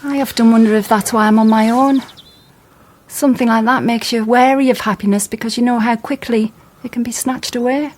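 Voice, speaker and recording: A woman's voice: a little high, fairly even intonation, fairly quick, darkish tone, lightly acted. An actress playing a lonely, wary woman who speaks wistfully and anxiously. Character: wary, lonely middle-aged daughter.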